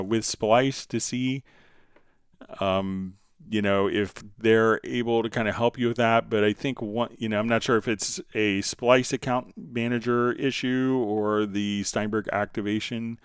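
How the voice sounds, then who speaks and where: Male tutorial host, resonant lower voice, unscripted and halting, recorded dry. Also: fairly even intonation, clean tone, no room sound. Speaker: livestream host answering viewer questions. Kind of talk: unscripted software tutorial, casual explaining.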